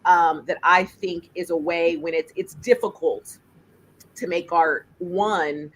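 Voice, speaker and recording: A woman filmmaker with a deep voice and lots of bass, speaking emphatically in a panel discussion over a video call. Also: slow, a little hiss or hum. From United States. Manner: emphatic, animated, laughs while speaking. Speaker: filmmaker and juror.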